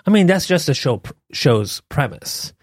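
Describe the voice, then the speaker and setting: A man co-hosting a podcast with a soft, playful way of talking in casual chat. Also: a little high, big pitch swings, moderate pace, slightly rough, no room sound, clean studio sound. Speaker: podcast co-host.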